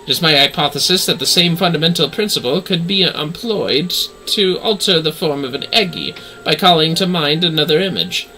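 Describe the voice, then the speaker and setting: A man's voice: fairly high pitch, animated, brisk, few pauses, sharp tone, faint music behind, rough recording. A man voicing a courteous, eager scholar in a video game, speaking in formal, archaic, eloquent phrases. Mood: fascinated.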